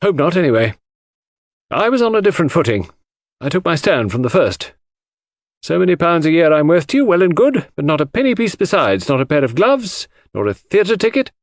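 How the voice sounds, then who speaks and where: A man plays a gruff, loyal Englishwoman in an audiobook, indignant and outspoken. The voice sits high and swings widely in pitch. Sentences come short, blunt and clipped, in a dry close recording. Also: big pitch swings, brisk, no room sound. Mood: bitter, defensive. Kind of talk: audiobook character voice.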